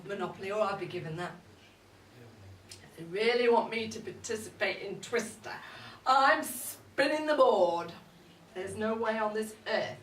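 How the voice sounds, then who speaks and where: A woman's voice: mid-range voice, close to an everyday voice. A woman playing a cheeky, boozy older mother-in-law, gossiping in a wry, bawdy, confiding comic monologue. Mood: wry, exasperated. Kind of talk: comic dramatic monologue read to a live audience. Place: indoor live event at a pub. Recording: roomy, distant live recording with audience noise. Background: audience crowd.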